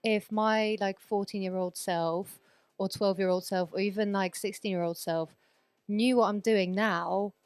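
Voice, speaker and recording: Chatty woman with a smooth, dry voice. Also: mid-range voice, fairly even intonation, measured pace, a little mellow, dry recording, soft background music. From Poland, raised in Sweden. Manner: chatty, rambling, many fillers and restarts. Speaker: guest DJ. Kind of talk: casual podcast interview.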